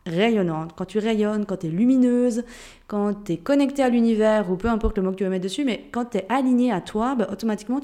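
A woman's voice: medium pitch, warm tone. Swiss woman with a Jura accent. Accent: Swiss French, Jura. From Switzerland. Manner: chatty, addresses listener directly, informal. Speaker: podcast host and mindset coach. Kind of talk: solo podcast monologue.

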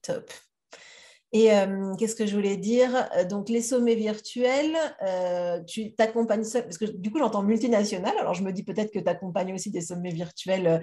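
A woman's voice: medium pitch, moderate pace, warm tone. A woman hosting a podcast, chatting casually and asking questions with many fillers. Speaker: podcast host. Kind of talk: casual interview talk.

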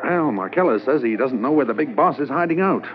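An actor playing a calm, confident undercover policeman in a 1950s radio drama. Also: mid-range voice, fairly quick, close to an everyday voice. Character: undercover Canadian policeman posing as smuggler. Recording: dull old radio transcription, faint hiss.

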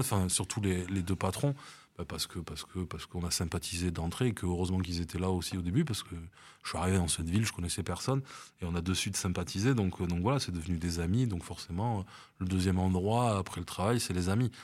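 A man's voice: sits low, fast, darkish tone. A middle-aged man from France chatting in an interview, hesitant, with many fillers and restarts. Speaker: cinema manager, interview guest. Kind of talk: casual interview chat. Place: outdoors on a seafront promenade.

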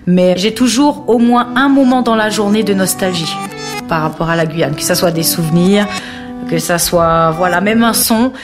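A woman in her thirties who grew up in French Guiana, speaking hesitantly about her life with frequent pauses and fillers. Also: mid-range voice, over music. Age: 30s. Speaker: interviewee. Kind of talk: reflective personal testimony.

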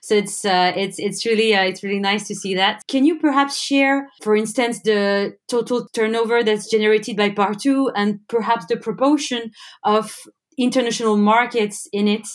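A woman's voice: mid-range voice, steady tone, normal speed. A woman hosting a business interview in a conversational style, putting questions to her guest. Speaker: interviewer, podcast host.

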